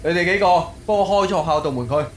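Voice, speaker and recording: Male actor as police officer, Cantonese accent, quick clipped orders, commanding, noisy background, radio play. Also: on the high side, a bit bright, voice pushed up, close to an everyday voice. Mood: commanding, alert. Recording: clear background noise with clicks.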